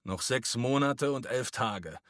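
Man voicing a sneering, forceful stormtrooper squad leader. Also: medium pitch, normal speed, no echo, clean studio sound, close to an everyday voice. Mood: sneering, mocking. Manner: mocking, officious, forceful. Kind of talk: audiobook character voice.

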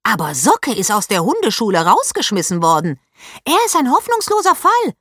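A woman reading aloud as a bossy know-it-all schoolgirl who talks in a shrill, indignant way. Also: sing-song, moderate pace, fairly bright tone, dry recording, clean studio sound.

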